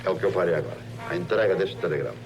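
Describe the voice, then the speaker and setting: Male actor as telegram-bearing storyteller for TV drama; low voice, scripted narration under prominent music. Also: rapid-fire, lightly acted. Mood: solemn. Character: man who delivers telegrams and narrates stories.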